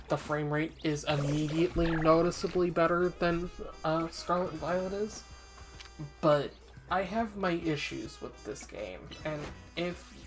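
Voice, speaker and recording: A woman's voice: sits low, poor audio. A woman streaming a game and chatting casually, slightly congested and sniffly, with lots of ums while giving candid opinions. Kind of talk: casual gameplay commentary.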